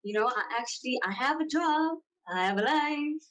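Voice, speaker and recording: A woman's voice: sits high, lively intonation, moderate pace, a bit bright. A young woman with a South African accent, chatting casually as a podcast guest in a self-deprecating, talkative way. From South Africa, Johannesburg and Durban. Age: young adult. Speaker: podcast guest, content creator. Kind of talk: casual interview chat.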